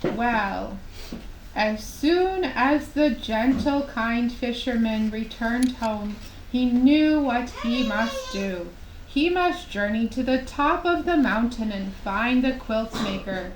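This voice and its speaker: Female storyteller for children's puppet show, higher-pitched, gentle and very unhurried. Roomy live recording. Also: lively intonation. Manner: gentle, slow, deliberate phrasing with pauses. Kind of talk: children's storytelling, scripted narration. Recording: roomy echo, clear background noise.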